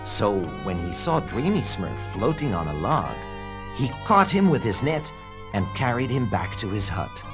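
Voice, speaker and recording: A man narrating a scripted children's read-along story in an expressive, dramatic storytelling manner. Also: lowish voice, a little slow. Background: background music.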